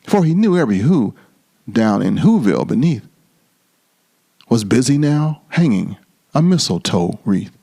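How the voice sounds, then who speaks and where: A man reading a children's storybook dramatically, with theatrical, drawn-out emphasis. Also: medium pitch, big pitch swings, creaky, dark tone, slightly rough.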